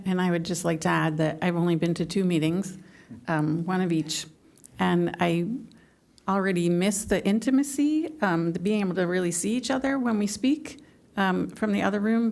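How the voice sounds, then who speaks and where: A woman with strong vocal fry speaks thoughtfully as a committee member in a city meeting room. Her pitch is fairly low. She pauses with filler ums. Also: a little mellow.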